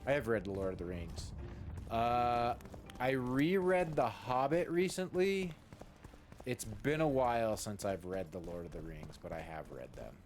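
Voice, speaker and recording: A man chatting casually and ramblingly as a game streamer, informal and halting, leaning on key words. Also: mid-range voice, expressive. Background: game music.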